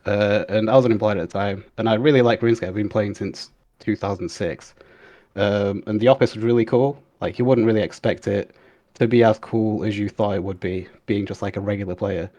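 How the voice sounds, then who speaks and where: A young man with a lowish voice, answering interview questions in a relaxed, easygoing way. He talks loosely, with filler words between thoughts. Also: a little fast, dull audio. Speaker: guest, game QA analyst. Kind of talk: casual interview chat.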